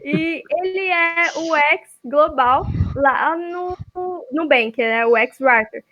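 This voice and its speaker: A woman's voice: sits high, takes it slowly, pushed and bright. A Brazilian woman hosting a live online event, chatty, with many fillers and restarts. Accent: Brazilian Portuguese. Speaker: event host, chapter leader. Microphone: video call. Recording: lo-fi online call audio.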